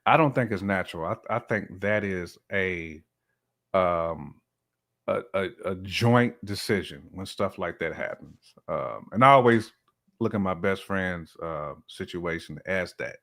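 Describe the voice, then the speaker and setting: A middle-aged man with a fairly low voice talks as a guest in a casual relationship discussion. He explains his thoughts reflectively, in everyday colloquial wording. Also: a little slow, vocal fry, fairly bright tone. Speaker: podcast guest.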